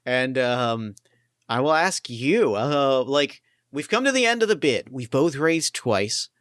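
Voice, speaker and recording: A man's voice: medium pitch, big pitch swings, takes it slowly, a bit bright, dry recording, clean studio sound. A man running a tabletop game as game master, narrating in a loose, rambling way. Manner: loose, filler-heavy narration.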